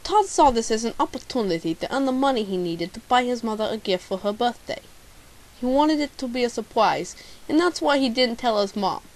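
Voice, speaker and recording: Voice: mid-range voice, lively intonation, a little fast, natural voice. A young-sounding amateur actor playing a bumbling, pompous male private eye in a radio drama, theatrical and self-important. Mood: self-important narrating. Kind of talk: amateur radio drama detective narration and dialogue. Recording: hissy, noisy amateur home recording.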